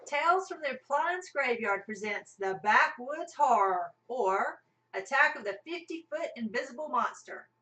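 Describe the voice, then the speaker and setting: A woman's voice: high-pitched, animated, normal speed, bright, forceful tone. A woman narrating a scripted comic radio play as part of an amateur cast. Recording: roomy, mic at a distance.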